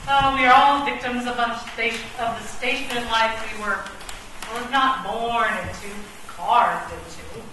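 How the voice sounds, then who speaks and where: A woman's voice: on the high side, steady tone, moderate pace, close to an everyday voice. Woman playing a lofty chess pawn, echoey and distant. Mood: gloomy, grandiose. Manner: pompous, theatrical, precise wording. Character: bookish, brooding chess pawn with a poet's soul. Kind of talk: comic radio play acting. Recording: roomy, distant, noisy stage recording.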